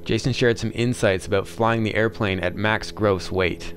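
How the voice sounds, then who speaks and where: A man's voice: on the low side, steady tone, normal speed, noisy background. A man hosting a flying vlog, reading scripted narration in an enthusiastic manner. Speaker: vlog host and student pilot. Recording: music under the voice. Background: music.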